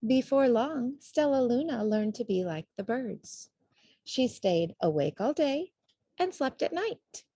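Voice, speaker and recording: A woman reading a children's storybook aloud as an expressive narrator. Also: on the high side, a little slow, no room sound. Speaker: storybook reader.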